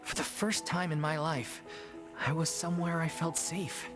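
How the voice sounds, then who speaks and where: A man's voice: fairly high pitch, flat delivery, normal speed, lightly acted. A man playing a loyal, sincere young stage actor in an anime-style game, earnest and plain-spoken. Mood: wistful, earnest. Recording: music under the voice.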